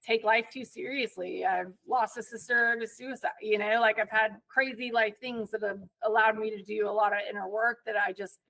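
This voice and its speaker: A woman hosting a podcast in casual chat, candid and chatty, with quick asides. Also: on the high side, fairly even intonation, vocal fry, pushed and bright, poor audio. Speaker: podcast host.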